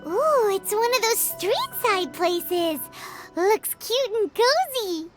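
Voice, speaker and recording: Tiny fairy girl, very high squeaky voice, bouncy and lively, slow, game music behind. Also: full character voice. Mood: curious. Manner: talks about herself in third person. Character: tiny floating fairy girl companion, chirpy and curious. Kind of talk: video game voice acting.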